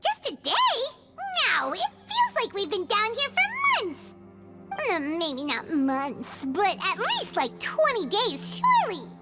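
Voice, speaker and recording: A woman voicing a tiny, excitable childlike fairy companion in a video game, squeaky and exclamatory. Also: slow pace, loud music behind the voice, heavily acted. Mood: whiny, bored. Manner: whiny, exclamatory, refers to herself by name. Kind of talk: video game character acting.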